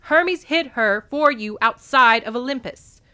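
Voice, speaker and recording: A woman voicing a furious, desperate mother goddess in a storybook, shrill and grating. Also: sing-song, normal speed, fairly bright tone. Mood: desperate accusation.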